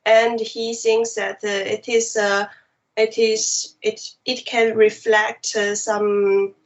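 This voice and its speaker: A woman's voice: on the high side, level tone, slow, muffled recording. A woman from China with a Mandarin accent, native Mandarin speaker, answering interview questions in careful English with many hesitations. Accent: Mandarin Chinese. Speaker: animation director guest.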